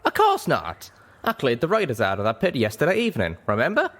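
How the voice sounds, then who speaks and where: A man's voice: medium pitch, wildly swooping pitch, a little fast, fairly bright tone, voice pushed up. Man putting on a brash cowboy flying pony. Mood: indignant, proud. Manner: folksy, boastful exclaiming.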